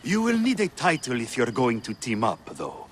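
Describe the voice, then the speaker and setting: A man's voice: medium pitch, very animated, normal speed, a little hiss or hum, lightly acted. A man playing a dry, understated arena official in a video game drama. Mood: dry, businesslike.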